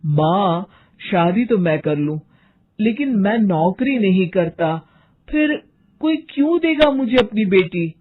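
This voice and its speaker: A woman's voice: medium pitch, animated, slow, husky, phone-quality sound. A woman voicing a polite, obedient young adult son in a cartoon story, speaking in a placating, plain way. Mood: hesitant, agreeable.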